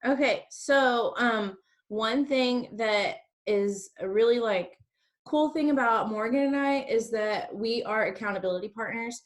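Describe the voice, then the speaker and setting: A woman's voice: fairly high pitch, unhurried. A woman in her early thirties giving an informal motivational talk to an online group as a co-hosting team leader, chatty and peppering her sentences with "like". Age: early 30s. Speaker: direct-sales team leader co-hosting a training.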